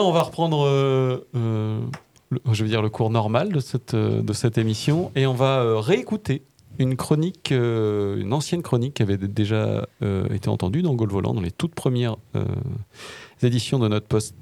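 A man hosting a podcast without a script, speaking in a hesitant, halting way. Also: mid-range voice, lively intonation, gravelly, darkish tone. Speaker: podcast host. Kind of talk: unscripted hosting.

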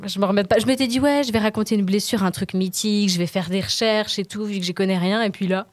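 A woman's voice: medium pitch, steady tone, fast, no room sound. A woman podcast columnist telling stories and chatting in an informal, playful way. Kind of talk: storytelling and casual chat.

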